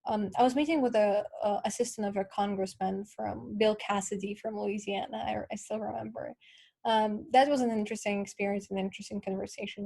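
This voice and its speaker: A woman's voice: mid-range voice, creaky, mellow. Young ukrainian woman, earnest, explains patiently, lots of ums. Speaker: guest. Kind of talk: casual interview conversation.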